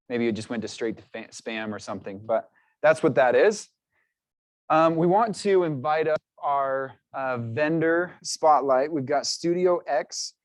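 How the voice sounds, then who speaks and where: A man's voice: medium pitch, dry recording. Man casually emceeing an office awards meeting. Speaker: event host.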